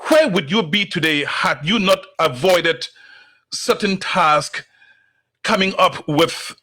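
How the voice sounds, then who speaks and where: A male host delivers a motivational opening at an unhurried, deliberate pace. His voice sits a little high and has a bright edge. He leans on key words for emphasis. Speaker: talk show host. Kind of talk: motivational monologue and interview.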